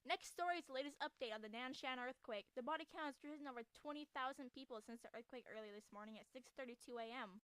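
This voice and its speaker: A young woman playing a chipper 1920s radio news co-host, speaking in a perky, brisk way with period slang. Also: sits high, fairly bright tone, clear, resonant tone. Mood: newsy. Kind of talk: scripted 1920s radio news show acting.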